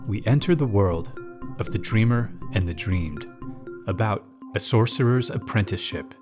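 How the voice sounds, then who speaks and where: A man hosting with a scripted introduction, delivered deliberately phrase by phrase. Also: lowish voice. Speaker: host. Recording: music bed behind.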